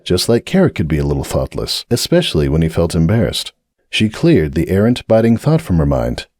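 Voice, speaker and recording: A man with a low voice reads a dramatic story aloud with measured, expressive delivery. His pitch rises and falls freely as he narrates, and the sound is dry and close with no room around it. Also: no echo. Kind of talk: dramatic audiobook reading.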